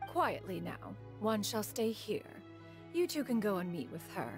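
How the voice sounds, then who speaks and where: A lofty ancient spirit. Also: female voice, mid-range voice, big pitch swings, measured pace. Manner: formal and lofty, calls herself "one". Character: stately ancient crane spirit in woman's form. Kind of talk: video game dialogue. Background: game music.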